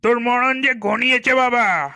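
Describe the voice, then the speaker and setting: A man voicing a ruthless, boastful bandit chief in a horror cartoon, gruff and roaring, taunting and commanding. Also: very high voice, a little slow, bright, forceful tone, voice pushed up, full character voice. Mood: menacing taunts. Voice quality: gruff, rough voice.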